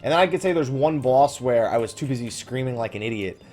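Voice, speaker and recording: A man's voice: mid-range voice, animated, quick pace, darkish tone, soft background music. A man giving casual, rambling let's play commentary, informal and often correcting himself as he drifts into side thoughts. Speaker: gaming video host.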